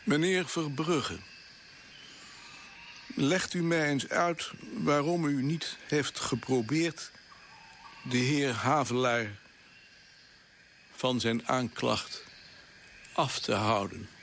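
A man playing a stern, disapproving senior colonial official in a radio play, with a measured, formal, pressing manner. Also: medium pitch, fairly bright tone, slight background noise. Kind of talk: Dutch radio play acting.

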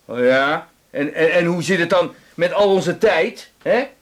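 Aggrieved cinema owner, very high voice, animated swings, halting stammer, self-pitying, radio drama. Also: male voice. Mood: indignant. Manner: stammering, halting, repeating words.

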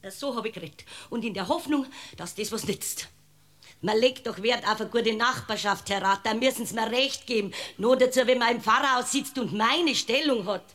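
Gossipy bavarian village housekeeper, high sharp voice, self-righteous, radio play. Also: female voice, normal speed. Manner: garrulous, self-righteous, scolding. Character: indignant, gossipy female parish housekeeper from the countryside. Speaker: actor. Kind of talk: German radio drama acting.

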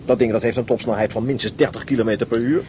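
A man's voice: mid-range voice, fairly even intonation, fast talker, background noise, close to an everyday voice. An actor playing a nervous, sarcastic male escaped prisoner who protests anxiously, in a radio play.

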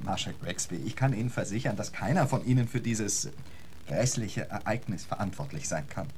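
A man's voice: on the low side, normal speed, darkish tone, slight background noise, lightly acted. A man playing a genteel, theatrical lecturer in a radio drama, protesting politely in flowery, mannered phrases. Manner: flowery, mannered, eager to persuade. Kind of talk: German radio drama acting.

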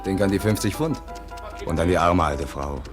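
An actor playing a cheeky, persuasive private detective in a 1950s German radio play, breezy and cajoling. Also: male voice, low-pitched, normal speed, close to an everyday voice. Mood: teasing. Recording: 1950s radio recording, music behind.

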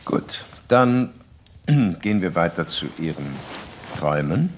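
An actor playing a detached, authoritative analyst doctor in a radio drama, speaking in formal case-report language. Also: male voice, deep voice, big pitch swings, takes it slowly, a little hiss or hum, heavily acted. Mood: calm, authoritative.

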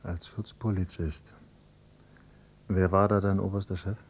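A man's voice: low voice, level tone, a little slow, slight background noise. An actor playing a grown son who questions his father in a persistent, accusing, controlled way, in a radio drama. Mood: insistent. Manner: insistent, probing, controlled. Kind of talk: German radio drama acting.

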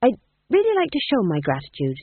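A timid young jester, acted by a narrator, earnest and nervously polite, his pitch bobbing up and down. He sounds weary but grateful, in a close, dry storybook reading. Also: wildly swooping pitch, fairly quick, slightly rough, no echo, phone-quality sound, exaggerated voice.

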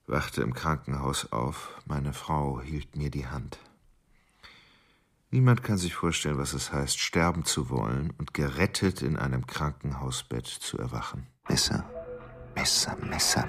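An actor playing an obsessive, tormented middle-aged murderer, confessing in a volatile radio drama monologue broken by chanted single words. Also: male voice, very deep voice, measured pace, fairly bright tone, husky, heavily acted. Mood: tormented, brooding.

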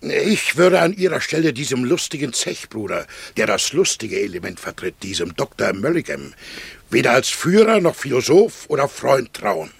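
A worried preachy middle-aged man in an old radio play, really hoarse-sounding husky voice, swings up and down. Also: mid-range voice, sing-song, normal speed, full character voice. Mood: earnest warning. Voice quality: husky, gravelly. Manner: muttering to himself, fretful, sententious. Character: anxious, moralizing middle-aged man wandering a night town. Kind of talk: 1960s German radio play acting.

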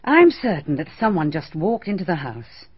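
Middle-aged society hostess, brisk and indignant; low, husky, animated; archival radio. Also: female voice, exaggerated voice. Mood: defensive. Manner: crisp, emphatic, slightly indignant. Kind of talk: BBC radio drama acting. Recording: dull archival radio recording.

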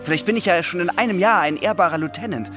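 A man's voice: sits high, fast talker, music in the background, close to an everyday voice. An actor playing an ambitious, dutiful young man in a German radio drama, earnest and lecturing. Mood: hopeful, persuading. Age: young adult.

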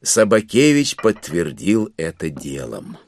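A man narrating a dramatized literary classic in an expressive, wry storytelling manner. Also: deep voice, takes it slowly, a little hiss or hum.

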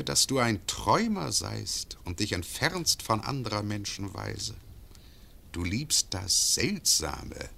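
An actor playing a cruel imperial bailiff in a classic radio play, cold, mocking and imperious. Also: male voice, on the low side, creaky, bright, forceful tone, full character voice. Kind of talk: classic radio drama acting.

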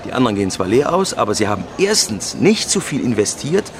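Naive, earnest banker, medium-pitched. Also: male voice, sing-song, fast, noisy background. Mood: eager, explanatory. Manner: rambling, repeats himself, earnest. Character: naive romantic male banker, earnest and eager. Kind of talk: naturalistic radio drama acting.

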